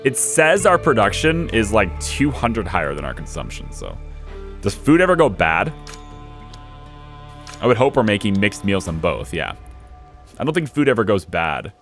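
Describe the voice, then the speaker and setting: A young man talks his way through a strategy game, thinking aloud and coaching his units as he goes. His voice sits low but swings widely in pitch, and it has gone a little hoarse. Faint background music plays beneath him. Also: fairly bright tone. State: losing his voice. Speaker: let's play host. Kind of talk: casual gameplay commentary.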